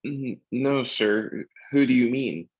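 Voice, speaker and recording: A man's voice: mid-range voice, takes it slowly, a little mellow, slightly rough, dry recording. Nervous teenage innkeeper's lad, acted by a man, radio drama, timid stammer, slightly dull lo-fi sound. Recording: dull lo-fi audio.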